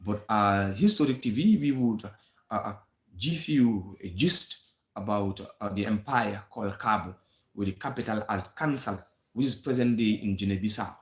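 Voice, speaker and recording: A Gambian man with a Gambian English accent hosts a live history stream in an earnest, lecturing manner, stopping often on fillers and restarting his sentences. His voice sits fairly low. The sound is roomy and echoey. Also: normal speed. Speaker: host of a history channel.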